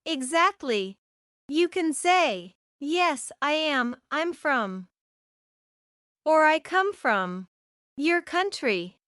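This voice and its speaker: A woman teaching a beginner English lesson in slow, clear, scripted narration. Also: high-pitched, sing-song, a bit bright, no room sound, studio quality.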